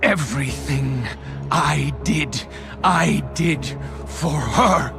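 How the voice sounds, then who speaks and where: An actor playing a weary, menacing fallen prince in a video game, with a deliberate, sardonic delivery. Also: male voice, on the high side, gravelly, heavily acted. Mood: anguished. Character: fallen prince villain, male, weary, menacing, searching for his sister. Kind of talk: dramatic game cutscene acting. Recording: music behind the voice.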